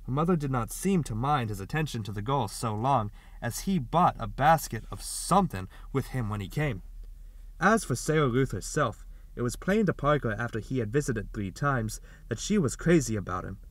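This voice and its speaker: A man reads a story aloud in a mid-pitched voice. The narration is scripted, in audiobook style. The sound is dry, with hardly any room in it. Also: very animated, normal speed, no echo.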